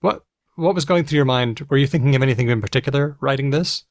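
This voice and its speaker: Creaky-voiced American man hosting a podcast. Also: medium pitch, fast talker, no room sound, studio quality. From United States. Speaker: podcast host and linguist. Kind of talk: conversational podcast interview.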